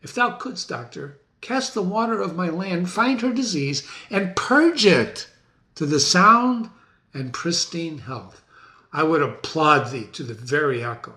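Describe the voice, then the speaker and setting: A man's voice: a little high, expressive, unhurried, bright, forceful tone, heavily acted. A man voicing an agitated, defiant warrior king in an imperious, theatrical Shakespearean reading. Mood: urgent, declaiming. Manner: imperious, urgent, theatrical declaiming. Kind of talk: dramatic stage reading of Shakespeare.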